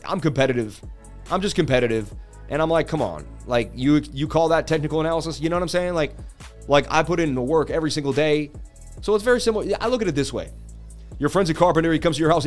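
Chatty man live-streaming. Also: on the high side. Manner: chatty, rambling, laughs a lot. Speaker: crypto streamer host. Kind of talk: casual live-stream chat.

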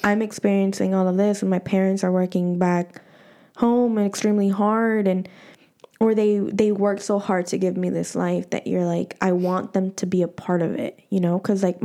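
Chatty woman podcast host with a mellow, warm voice and loose, filler-heavy talk. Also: medium pitch. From United States. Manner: chatty, many fillers and restarts. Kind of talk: casual podcast chat.

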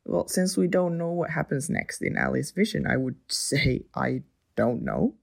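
A woman's voice: on the low side, moderate pace, creaky, a little mellow. A woman playing a wry, bossy, impatient member of a hero team in an audio drama. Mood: wry, practical. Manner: exasperated, wry.

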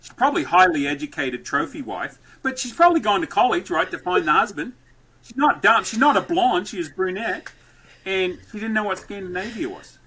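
A man guesting on a podcast, telling stories and dad jokes loudly in a rambling, lively way. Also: high-pitched, fairly quick, slight background noise, low-quality recording. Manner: loud, joke-telling, rambling. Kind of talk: lively conversational storytelling.

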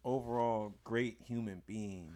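Hoarse man hosting a hype podcast. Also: lowish voice, fairly even intonation, a little slow. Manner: hype, emphatic, bursts into loud exclamations. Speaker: podcast host. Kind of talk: casual podcast chat.

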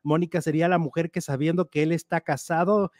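A man co-hosting a casual gossip show, animated and chatty as he reads out and comments on news items. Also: on the high side, brisk, no echo, studio quality.

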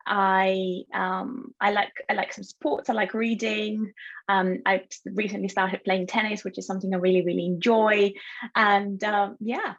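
A woman in her thirties, raised in Bulgaria, talks through her background in a relaxed interview. She is friendly and reflective and often pauses to pick her words. Her voice sits at a middle pitch, and the recording sounds a little dull and lo-fi. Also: gravelly. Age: 30s. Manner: friendly, thoughtful, with frequent pauses and fillers. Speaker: guest, cloud solution architect. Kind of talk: casual interview answers.

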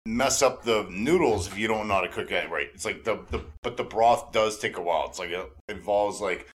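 Gushing, excitable man chatting casually. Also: on the low side, brisk. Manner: gushing, effusive fan enthusiasm; slangy, repeats himself. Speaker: podcast host interviewing a guest.

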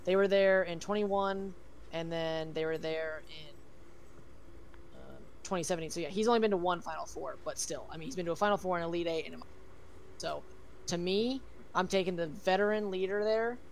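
A man's voice: sits high, normal speed, gravelly, slight background noise. A man co-hosting a casual sports podcast, talking with many ums and restarts. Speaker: podcast co-host.

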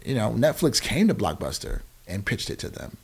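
A man's voice: on the low side, very animated, moderate pace, vocal fry, husky. An American man in his forties explaining things conversationally as an interview guest, emphatically and often checking that the listener follows. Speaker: podcast guest, nonprofit founder.

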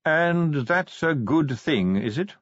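A cold, dry-witted ruler of a city who drawls each word slowly and flatly, as a man puts him on. The voice is a little husky, and the recording is dry and slightly dull. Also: on the high side, dry recording, voice pushed up. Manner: slow, flat, ironic.

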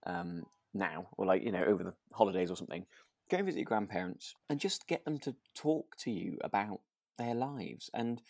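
A man's voice: lowish voice, a little fast, gravelly, a little mellow, no echo, studio quality. A man chatting casually as a podcast co-host, phrasing his thoughts in a hesitant, thoughtful way. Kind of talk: relaxed casual chat about a radio drama.

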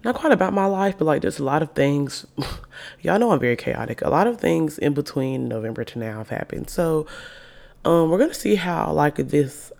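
A woman with a deep voice, roughened further by illness, hosts her podcast alone and chats loosely to her listeners. Her tone is playful and slangy, full of self-hype, with a gravelly fry running through her words. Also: expressive, moderate pace, a little mellow. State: sick with covid, voice extra deep. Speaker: podcast host. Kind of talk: casual solo podcast chat.

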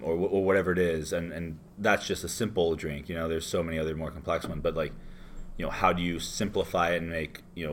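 A man with a low, deep voice, hungover and rambling a little as he tells stories in a relaxed interview. He speaks loosely and with animation, and a faint hiss of background ambience sits under the recording. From United States. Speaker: interview guest, bartender. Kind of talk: casual interview chat.

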